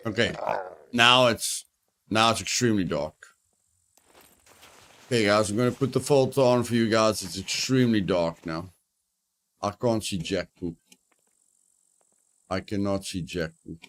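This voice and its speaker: A man's voice: fairly low pitch, measured pace, long pauses, fairly bright tone. A man streaming a survival game, giving live commentary, repeating his phrases and yelping with mild exclamations when startled.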